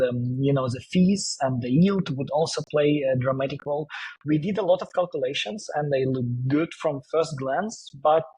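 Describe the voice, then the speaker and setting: Hesitant man, husky voice. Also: medium pitch, a little mellow, dry recording, low-quality recording. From Siberia, Russia. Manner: hesitant, many fillers and restarts. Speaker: crypto startup co-founder, guest. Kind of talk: casual podcast interview.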